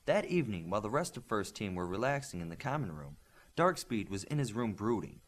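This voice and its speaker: A man reading scripted fiction aloud as an audiobook narrator, in an expressive, animated manner. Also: on the low side, a little fast, slight background noise.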